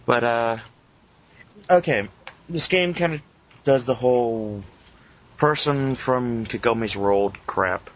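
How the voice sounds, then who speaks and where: A man co-hosting a casual podcast with teasing, profane banter and halting, informal review talk. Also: mid-range voice, a little hiss or hum. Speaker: podcast host. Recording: muffled lo-fi internet call recording.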